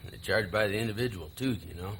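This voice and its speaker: An actor playing a taunting, bitter younger brother in a stage play, with a sarcastic, theatrical delivery. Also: male voice, lowish voice, fast, noisy background, lightly acted. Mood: bitter storytelling. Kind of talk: live stage play acting.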